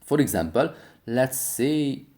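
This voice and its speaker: A man's voice: medium pitch, lively intonation, slow pace, a little mellow. A man hosting a how-to video, speaking in scripted tutorial narration.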